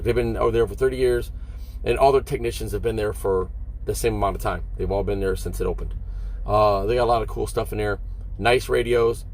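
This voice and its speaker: A man's voice: on the low side, slight background noise. A middle-aged American man, a truck driver, talking casually to his vlog viewers in a folksy, rambling, conversational way.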